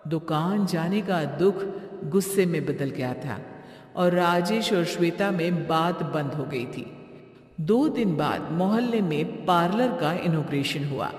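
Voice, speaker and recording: A woman's voice: lowish voice, lively intonation, measured pace, darkish tone. A woman reading scripted storybook narration as the narrator of a moral tale. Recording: roomy, long reverb.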